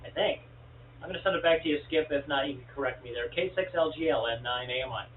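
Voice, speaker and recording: A man talks loosely over a radio net, filling gaps with "uh" and stringing details together. His voice sits a bit high, and the sound is narrow and tinny with a faint hiss behind it. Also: quick pace. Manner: rambling, many fillers. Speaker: ham radio net operator and streamer. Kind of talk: casual on-air radio chat.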